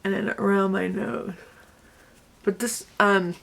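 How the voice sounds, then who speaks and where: Young female beauty vlogger, slight Southern accent, snarky and chatty, gravelly and husky. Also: mid-range voice, fairly even intonation, slow, a bit bright. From Connecticut and the US South. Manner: snarky, chatty, muttering asides. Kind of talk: casual get-ready-with-me chat.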